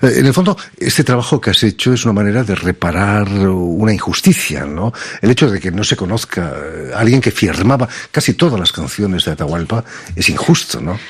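A man's voice: on the low side, animated, brisk, hardly any pauses, husky. A man hosting an interview, curious and prompting follow-up questions. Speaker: interviewer, host. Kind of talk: conversational interview.

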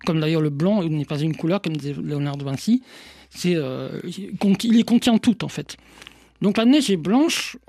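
Hesitant woman, slight fry. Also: sits low, lively intonation, moderate pace, warm tone, no echo, clean studio sound. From Portugal. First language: Portuguese. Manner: hesitant, restarts often, many "en fait" fillers. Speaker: author guest. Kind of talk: reflective radio interview answers.

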